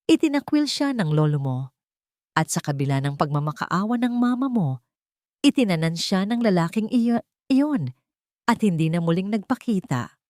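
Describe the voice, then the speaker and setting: A woman's voice: medium pitch, lively intonation, normal speed, a little mellow, no echo, clean studio sound, close to an everyday voice. A woman with a Filipino accent voicing a regretful older father in an audio story, telling his past with heavy remorse. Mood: regretful, sorrowful.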